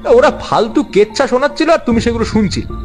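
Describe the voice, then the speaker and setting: A man playing a brash, excitable young man who scoffs at ghost tales in a horror story. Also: very high voice, fast, soft background music. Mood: dismissive. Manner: excitable, dismissive, questioning. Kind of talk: acted horror story dialogue.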